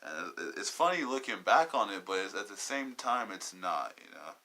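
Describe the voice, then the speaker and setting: A young man in his twenties from Southern California with a low voice and a very bright, tense edge. He talks through a personal podcast monologue in a halting, wandering way, often stopping to search for the next word. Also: sing-song. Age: 20s. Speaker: podcast host.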